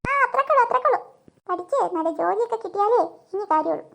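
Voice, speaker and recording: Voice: extremely high pitch, expressive, fast talker, vocal fry, fairly bright tone, heavily acted. A performer voicing a bossy, studious teenage schoolgirl in a comic cartoon, scolding in a matter-of-fact way. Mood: bossy, firm. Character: bossy, studious tenth-grade girl, playfully scolding. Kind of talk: comic Malayalam cartoon dubbing.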